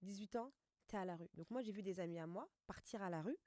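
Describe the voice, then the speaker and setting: Fast-talking woman in her thirties. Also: medium pitch, dry recording, clean studio sound. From France. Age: 30s. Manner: chatty, joking, quick and animated. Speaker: guest, life coach. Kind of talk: casual conversational interview.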